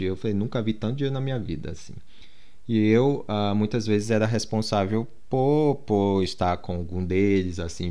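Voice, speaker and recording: A man's voice: low-pitched, a little mellow. A Brazilian man with a Brazilian Portuguese accent, talking casually to his viewers in a rambling monologue full of restarts and fillers. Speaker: vlogger.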